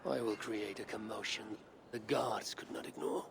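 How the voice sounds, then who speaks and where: A man's voice: fairly low pitch, steady tone, moderate pace, gravelly, noisy background. An actor playing a bold, self-assured male Viking warrior in a video game, speaking tersely in formal, archaic phrases. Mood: confident. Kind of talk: dramatic video game dialogue.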